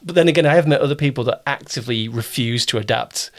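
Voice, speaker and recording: A man from Kent with a southern English accent, hosting a conversational interview in a chatty, reassuring way and often pausing to explain things. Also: mid-range voice. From Kent, southern England. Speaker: interview host, producer and director.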